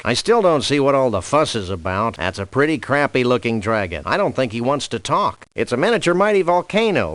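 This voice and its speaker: Adventure game dog detective: mid-pitched, animated melody, easygoing wry deadpan, wordy cartoon delivery. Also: male voice, no room sound. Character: laid-back wry cartoon dog detective, adult male. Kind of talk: comic adventure game voice acting.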